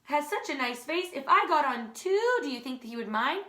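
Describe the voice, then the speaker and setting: A woman reading a picture book plays a prim, genteel little spider, polite and proper. The voice is high and bright, with an echo in the room. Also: expressive, normal speed.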